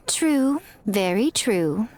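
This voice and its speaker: Elegant fox shrine maiden for a game: teasing, knowing, fairly high, swooping in pitch. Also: female voice, very animated, extremely slow, slight background noise, full character voice. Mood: sly, mock-sympathetic. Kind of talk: anime-style video game voice acting.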